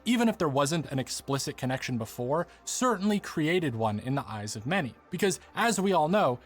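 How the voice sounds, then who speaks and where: A man delivering scripted documentary narration as the narrator of a video essay. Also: a little high.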